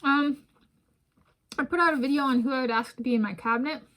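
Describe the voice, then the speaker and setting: American woman, 30s, slightly high-pitched, for casual digressive home food vlogs, chats between bites. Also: quick pace, a bit bright. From United States. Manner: rambling, digressive, talks while eating. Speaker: vlogger. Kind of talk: casual food vlog talk. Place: home room with bookshelves, indoors.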